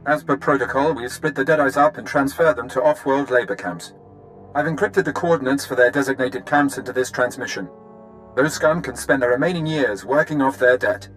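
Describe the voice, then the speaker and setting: An actor playing a contemptuous military captain in a video game, giving a formal, smug report. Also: male voice, medium pitch, fairly quick, fairly bright tone, faint music behind, natural voice, low-quality recording. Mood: smug, official. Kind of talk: video game dialogue acting.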